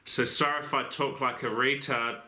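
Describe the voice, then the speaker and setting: A man's voice: mid-range voice, little pitch movement, normal speed, bright, forceful tone, reverberant. A young Australian man with a lisp from new braces, hosting a casual how-to vlog about crane rigging, joking and swearing in a blokey, rambling way. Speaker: crane rigger and channel host.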